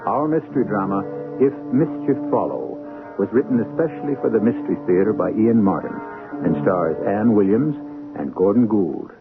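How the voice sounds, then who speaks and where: Male host, low gravelly voice, deliberate dramatic narration, narrow-band old radio sound. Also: lively intonation. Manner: deliberate, theatrical. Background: music.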